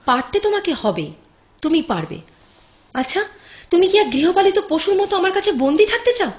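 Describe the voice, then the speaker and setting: A woman's voice: very high voice, big pitch swings, normal speed. An actress playing a firm, devoted woman caring for an ailing man in an audio drama, earnest and insistent in her urging. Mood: firm, coaxing. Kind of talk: Bengali audio drama acting.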